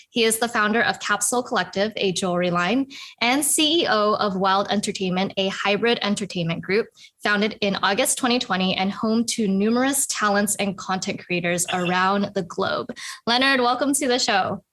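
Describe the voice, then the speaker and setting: A woman co-hosting a podcast, reading a prepared guest introduction in an even, steady way. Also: mid-range voice. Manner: reads a prepared introduction evenly. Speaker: podcast co-host.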